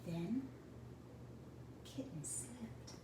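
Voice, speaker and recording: Lady doing slow storytime read aloud for little kids, chats to them between lines, echoey room w background noise. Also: mid-range voice, expressive. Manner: slow, deliberate phrasing for children. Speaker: teacher reading a picture book to children. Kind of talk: read-aloud storytelling with asides to young listeners. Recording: roomy, distant mic with clear background noise.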